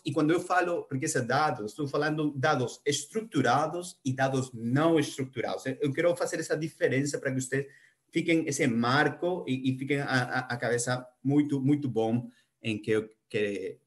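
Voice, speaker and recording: A middle-aged man with a Spanish accent, Spanish his first language, giving a conference talk in Portuguese as a project planner, halting with many restarts and fillers. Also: mid-range voice. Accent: Spanish-speaking. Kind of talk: conference presentation.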